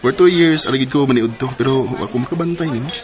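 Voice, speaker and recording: A man plays a friendly young suitor in a radio drama. His voice is mid-pitched, and his delivery is eager and boyish. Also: quick pace, a crowd in the background, natural voice.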